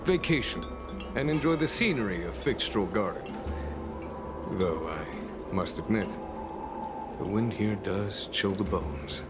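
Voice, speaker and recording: A grave general in a story-driven game, with a very low voice. He speaks formally and solemnly, measuring each phrase as he tells of old legends over clear background music. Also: lively intonation, slow pace, long pauses. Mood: composed, musing. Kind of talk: scripted dramatic game monologue.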